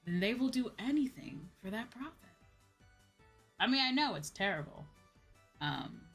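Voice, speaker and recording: A woman's voice: fairly high pitch, sing-song, moderate pace, a bit bright, breathy edge. A woman streamer chatting casually and candidly, with emphatic repetition and informal, sweary phrasing. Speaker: VTuber streamer hosting a chat stream. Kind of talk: casual livestream chat. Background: background music.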